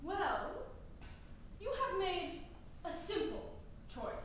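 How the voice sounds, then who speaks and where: A woman's voice: very high voice, big pitch swings, slow pace, a bit bright, exaggerated voice. Fussy, teasing old nurse, played by a woman, distant stage sound with faint noise. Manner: complaining, dawdling, theatrical. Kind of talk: stage Shakespeare acting. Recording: echoey hall from far off.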